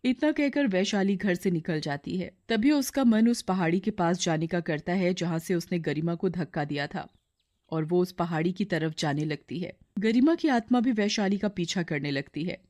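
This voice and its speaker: A woman's voice: on the low side, darkish tone. A woman storyteller reading a scripted moral tale as its narrator.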